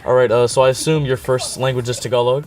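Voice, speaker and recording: A young man hosts a small college studio interview show in an everyday mid-pitched voice. He keeps it upbeat and casual, dropping jokey catchphrases between his questions. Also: steady tone, fast, a little mellow. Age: young adult. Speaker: host.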